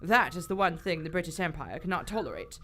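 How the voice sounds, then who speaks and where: Poised, animated lady adventurer played by an actress. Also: fairly low pitch, fast talker. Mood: sombre, reflective. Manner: dry, composed, commanding. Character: poised, wry aristocratic British woman explorer, brave leader. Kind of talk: live radio theater acting. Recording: live stage recording with faint noise.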